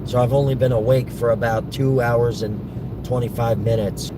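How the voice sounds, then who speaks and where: Hoarse man talking over loud car noise. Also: fairly even intonation. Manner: halting, restarts often, defensive. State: hoarse, voice worn out. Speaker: live streamer answering chat. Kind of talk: casual chat to viewers while driving. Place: in a moving car. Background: road and engine rumble.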